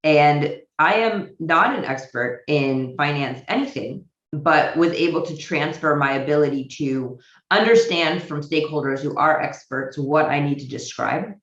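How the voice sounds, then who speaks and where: An American woman giving a virtual conference talk, thoughtful and hesitant, pausing on fillers and restarting her sentences. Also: low voice, reverberant, lo-fi sound. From United States. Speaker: conference speaker. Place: home office in Israel.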